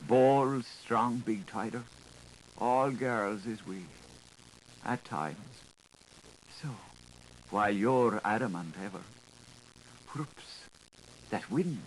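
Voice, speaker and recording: A man reading a literary audiobook as a dramatic monologue, in an expressive, musing and intimate way with shifting emphasis. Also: fairly low pitch, takes it slowly, long pauses. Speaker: audiobook reader. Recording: noisy 1980s audiobook recording.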